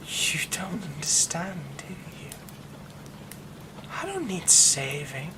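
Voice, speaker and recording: A man voicing a menacing yandere werewolf who is an old childhood friend, in an ASMR roleplay, talking in slow, soft, threatening whispers. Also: on the high side, wildly swooping pitch, noisy background, voice pushed up, heavily acted.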